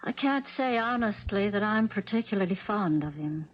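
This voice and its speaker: A gentle, solicitous American stepmother played by a radio actress speaks with hesitant politeness and quiet unease. Her voice has a middle pitch, on a slightly dull 1970s radio drama recording. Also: animated, moderate pace, natural voice. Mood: uneasy.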